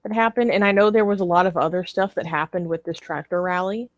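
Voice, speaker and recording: A woman's voice: fairly low pitch, steady tone, fast talker, no room sound. A woman co-hosting a talk show, chatting informally about news with plenty of asides. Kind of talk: casual chat about news.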